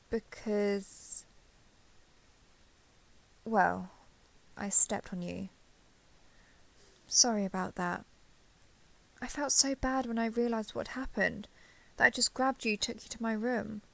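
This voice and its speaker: A woman playing a caring, apologetic young dragon girl giant in an intimate roleplay audio drama, talking gently and hesitantly to the listener. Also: on the high side, vocal fry, poor audio.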